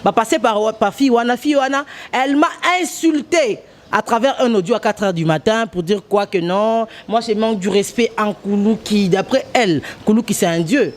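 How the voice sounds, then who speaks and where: A woman's voice: medium pitch, moderate pace. Emphatic Congolese journalist woman. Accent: Congolese French. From DR Congo, Kongo Central. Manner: emphatic, hesitant, switches between French and Lingala. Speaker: journalist guest. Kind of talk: interview.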